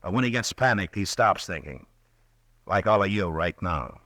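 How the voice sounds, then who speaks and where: An actor playing a calm, stern frontier marshal in a 1950s radio drama, speaking steadily and firmly. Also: male voice, low-pitched, normal speed, no echo, clean studio sound. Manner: steady, level-headed, firm.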